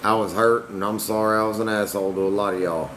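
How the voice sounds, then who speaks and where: A young man with a somewhat low voice, answering his livestream chat bluntly and defensively. A steady air-conditioning hum runs under everything he says. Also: fast. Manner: blunt, defensive, Southern-tinged slang. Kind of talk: casual livestream talk to chat.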